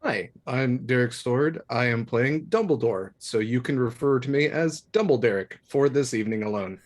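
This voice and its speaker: A man's voice: mid-range voice, normal speed. A man, a guest reader, talking in casual banter.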